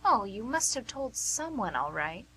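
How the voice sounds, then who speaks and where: Woman playing a wry, bright-voiced knowing nurse. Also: a little high, big pitch swings, slightly rough, heavily acted. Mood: wry, probing. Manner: teasing, probing, deliberate. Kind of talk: amateur radio play acting. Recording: amateur radio recording with hum and noise.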